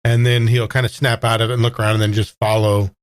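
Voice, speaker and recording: A man's voice: on the low side, level tone, fast, no room sound, studio quality. A man playing a tabletop game, casually and somewhat tentatively describing what his character does.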